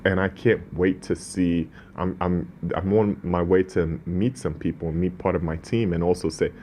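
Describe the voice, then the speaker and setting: A man's voice: deep voice, steady tone, normal speed, dark tone, a little hiss or hum. A man born in Ghana, speaking as a business owner in an interview, earnest and prone to restarts. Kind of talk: casual interview talk.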